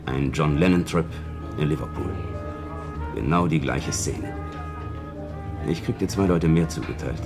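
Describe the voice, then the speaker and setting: An actor playing a weary detective who recounts a case in a clipped, matter-of-fact way, in radio drama style. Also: male voice, a bass voice, measured pace, pauses often. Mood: matter-of-fact, resigned. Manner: clipped, matter-of-fact delivery in short sentences. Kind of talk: 1970s German science-fiction radio drama acting. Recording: music bed under the voice.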